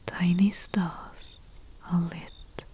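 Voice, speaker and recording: A woman playing a humble, soothing herbalist in a soft-spoken ASMR roleplay, with a breathy whisper and a hushed manner. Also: on the low side, flat delivery. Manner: slow, hushed, soothing, with long pauses. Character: humble female herbalist, soothing and deferential servant to nobility. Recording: faint hiss with chirping night crickets. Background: crickets and night insect ambience.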